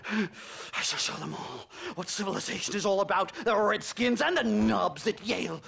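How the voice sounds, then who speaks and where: An actor playing a nervous, pompous, self-righteous academic in a video game, stammering and flustered. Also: male voice, sits high, lively intonation, moderate pace, gravelly, fairly bright tone, full character voice. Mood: agitated, fervent. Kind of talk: theatrical video game cutscene acting.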